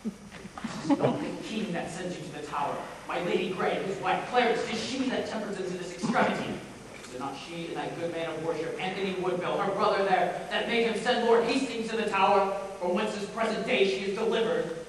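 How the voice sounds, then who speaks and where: A young man on stage playing a bitter, deformed, scheming villain duke in declamatory Shakespearean style with deliberate pauses. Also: sits high, natural voice. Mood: sly, mocking. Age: young adult. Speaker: high school stage actor. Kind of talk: theatrical Shakespearean stage acting. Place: high school stage performance, recorded from afar. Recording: echoey theatre hall, distant microphone, faint noise.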